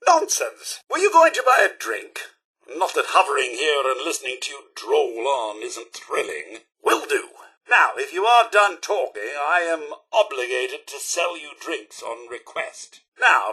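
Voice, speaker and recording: Theatrical high-pitched British robot butler. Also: male voice, pushed and bright, husky, exaggerated voice. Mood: snide, impatient. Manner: fussy, theatrical, over-polite with dry sarcasm. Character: prim, cheery, sardonic robot butler and attendant. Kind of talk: video game companion voice lines.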